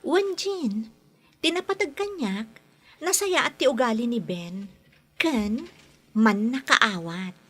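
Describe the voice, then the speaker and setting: Bright, unhurried young Filipina teacher. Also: female voice, fairly high pitch, lively intonation, heavily acted. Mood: fond. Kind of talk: Filipino radio drama acting in Ilocano.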